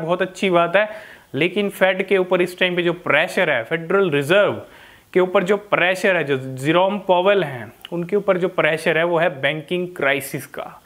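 A man's voice: high-pitched, a bit bright. A man in his thirties with an Indian accent, giving informal market commentary to camera in an explanatory way, often checking in with short tag questions. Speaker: crypto vlogger. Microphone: lapel mic.

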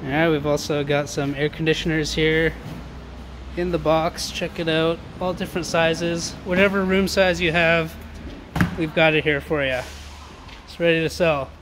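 A man's voice: a little high, level tone, moderate pace. A man presenting auction items in an informal promotional walkthrough, with enthusiastic, slangy sales patter. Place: large warehouse showroom, indoors. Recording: noticeable background noise in warehouse.

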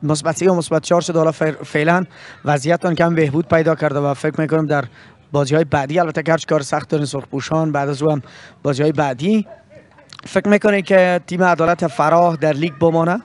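A man's voice: a little high, fairly even intonation. A young man with an Afghan Dari accent, a sports presenter interviewing after a match and signing off with a handheld mic outdoors. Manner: formal, fluent broadcaster delivery. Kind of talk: post-match interview and sign-off. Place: outdoor pitchside sponsor backdrop.

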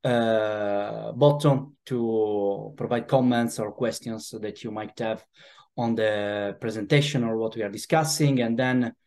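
A man with an Italian accent hosts an online webinar in a relaxed way, pausing often with "uh" fillers. He speaks over a video call from a home office. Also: on the low side. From Italy. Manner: relaxed, hesitant, with frequent "uh" fillers. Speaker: webinar host.

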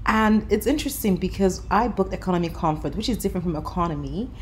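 A woman in her thirties chats brightly through a travel review, with a lowish voice and easy fillers. She speaks to a camera held close, with a faint hum in the background. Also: animated, fast. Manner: chatty, upbeat, lots of fillers like "you know". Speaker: travel vlogger. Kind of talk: casual vlog chat and voice-over review. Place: airport lounge, indoors. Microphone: camera held close at arm's length.

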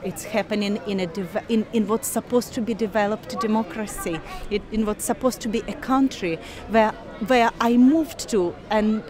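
Ukrainian-accented woman, 40s, interviewed outside in a park, earnest, lots of little pauses. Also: medium pitch. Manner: earnest, measured, with frequent pauses. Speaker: interviewee, scientist. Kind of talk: outdoor street interview. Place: park outdoors, people milling behind.

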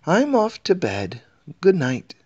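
Man doing a high-pitched monkey treasure keeper. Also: huge pitch swings, slow, darkish tone, slightly rough, highs cut off, exaggerated voice. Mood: sleepy. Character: helpful, sleepy monkey royal treasure keeper.

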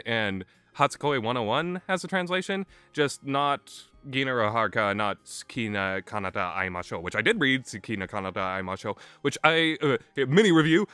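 A young man talks off the cuff in a bright, sharp voice at a medium pitch. His delivery is hesitant and self-deprecating, like an informal review. The sound is close and dry, with faint music underneath. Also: clear, resonant tone, no room sound. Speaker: visual novel reviewer. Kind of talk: casual unscripted rambling commentary.

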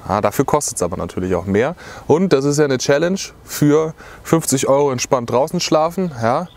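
A man's voice: medium pitch, animated, normal speed, darkish tone. A young man vlogging outdoors from under a tarp, chatty and rambling, with lots of filler words, talking to a close camera. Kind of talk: casual chat to camera.

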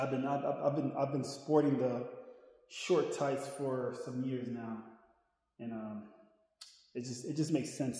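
A man in his thirties talks casually to a camera held at arm's length, wandering through a large indoor gym. His voice sits at a middling pitch, and he rambles, often restarting phrases. The room is roomy and echoey, with a long reverb trailing each line. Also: darkish tone. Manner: rambling, repeats himself while walking around. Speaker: fitness vlogger. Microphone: handheld camera at arm's length.

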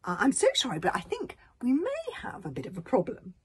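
A woman's voice: on the high side, huge pitch swings, fairly quick, husky, pitched above the performer's own voice, exaggerated voice. A woman voicing a panicky, apologetic young boy who pleads with his friends in a storybook reading. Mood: sheepish, nervous. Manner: crying, shouting pleas.

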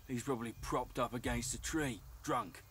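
A man's voice: mid-range voice, takes it slowly, fairly bright tone, faint music behind. A man playing an easygoing, teasing hunter in a video game, talking in a casual, mocking way. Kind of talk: video game cutscene acting.

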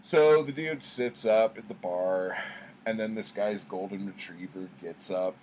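A middle-aged man with a fairly low voice chats like a home vlogger. His delivery is conversational and rambling, full of slang and swearing. The webcam recording carries a faint layer of noise. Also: measured pace, a little mellow. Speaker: book vlogger. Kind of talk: casual chat, rambling storytelling. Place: small indoor room at home with bookshelves.

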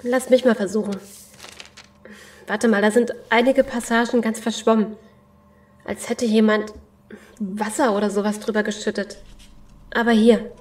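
A woman playing a serious, steady survivor in an audio drama, speaking in a grave and deliberate way. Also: fairly high pitch, natural voice. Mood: sober. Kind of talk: German audio drama acting.

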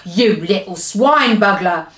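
A woman's voice: on the high side, lively intonation, measured pace, a bit bright, reverberant. A woman voicing a brutish, greedy male giant from a storybook, bellowing and scornful in mangled grammar. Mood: roaring, gloating.

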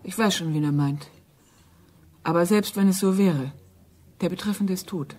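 A woman acting a defiant wife and mother in a radio play. Her voice is dark and mellow, her answers terse and bitter. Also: lowish voice, normal speed, lightly acted. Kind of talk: German radio drama acting.

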